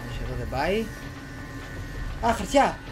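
A young man, giving casual let's play commentary in an excitable way, talking to himself and repeating words rapidly. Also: a little high, music in the background, low-quality recording. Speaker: gaming YouTuber. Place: small room at home, indoors. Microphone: headset on, desktop USB condenser microphone. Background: horror game soundtrack and effects.

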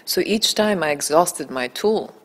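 A woman's voice: sits low, expressive, a little slow. A woman in her thirties from Bulgaria, a documentary film-maker answering interview questions in an earnest, articulate way, into a handheld mic in a small indoor room. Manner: earnest, articulate, building emphasis. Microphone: handheld mic held by interviewer.

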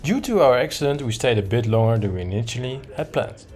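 A man's voice: medium pitch, lively intonation, fast talker, over music. A man in his thirties narrating a travel vlog. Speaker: travel vlogger.

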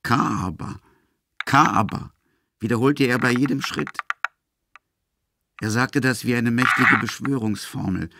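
A man's voice: medium pitch, vocal fry, heavy background noise. A man narrating a literary radio drama as its storyteller.

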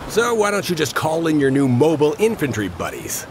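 Gravelly, cynical mercenary leader. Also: male voice, fairly high pitch, huge pitch swings, a little fast, noisy background. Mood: sardonic. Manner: blunt, sarcastic. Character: gruff, cynical male mercenary squad leader. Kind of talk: video game character acting.

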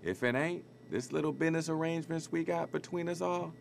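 An actor with an American accent playing a swaggering, flirtatious street hustler in a video game cutscene, with slangy, cocky smooth talk. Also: male voice, fairly high pitch, a little fast, slight background noise. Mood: warning, cocky.

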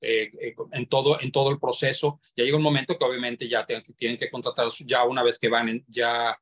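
Halting man explaining on a webinar. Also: mid-range voice, level tone, fairly bright tone. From Mexico. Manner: halting, searching for words. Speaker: property developer's representative, webinar guest. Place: indoors, on a video call.

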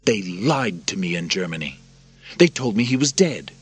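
A man voicing an angry, unstable young male emperor who shouts and rises in pitch as he talks, with a husky voice. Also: animated, normal speed, faint music behind, exaggerated voice. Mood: aggrieved, agitated.

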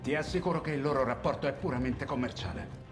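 Ruthless villain played by a man, loud music. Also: mid-range voice, close to an everyday voice. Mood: cold, controlled. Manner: commanding, deliberate. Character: cold, ruthless male villain commander. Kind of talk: video game acting.

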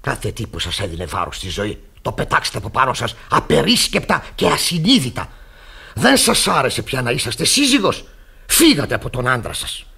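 An actor playing a stern, easily scandalized pastor in Greek radio theatre, formal and preachy, with a husky voice. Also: male voice, mid-range voice, big pitch swings, pushed and bright, full character voice. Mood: stern, reproachful.

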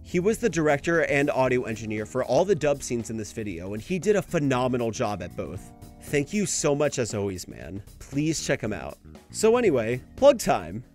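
A man's voice: mid-range voice, sing-song, moderate pace, a little mellow, soft background music. A young adult man narrating a scripted video essay in a casual style. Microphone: home microphone, voice-over.

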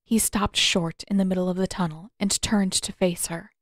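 A woman reading scripted fiction aloud as a narrator, with expressive, dramatic delivery. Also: on the low side, normal speed, no room sound, clean studio sound. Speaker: audiobook narrator of fan fiction.

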